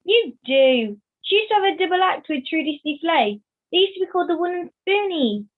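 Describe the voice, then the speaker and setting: A woman's voice: extremely high pitch, expressive, a little fast. A young woman acting a chatty, gossipy puppet in an amateur online puppet show. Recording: thin phone-like video-call line.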